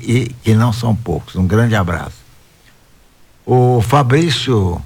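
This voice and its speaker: Male radio host, low voice, unhurried interview pacing. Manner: slow, warm greetings to listeners, hesitant fillers. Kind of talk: radio talk show interview.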